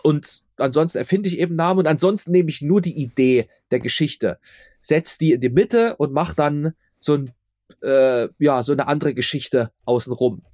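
A man's voice: on the high side, normal speed, a little mellow, no room sound, clean studio sound. A man being interviewed as a radio play maker, chatting casually and often repeating words for emphasis.

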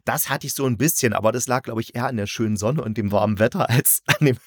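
A man's voice: medium pitch, fast, husky, dry recording, clean studio sound. A man hosting a casual review conversation, thinking aloud in a colloquial, rambling way. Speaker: podcast host and audio drama reviewer.

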